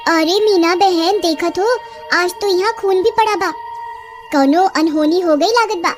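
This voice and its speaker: A woman voicing a gossipy, concerned village neighbour with a Bhojpuri accent in an animated story. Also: very high voice, measured pace, music in the background. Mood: alarmed.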